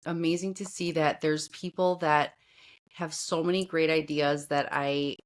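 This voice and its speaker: A woman with a notably low voice hosting a podcast conversation. She chats casually and gushes, piling on enthusiastic praise for her guest. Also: fairly even intonation, measured pace. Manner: effusive and gushing. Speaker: podcast host. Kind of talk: casual interview chat.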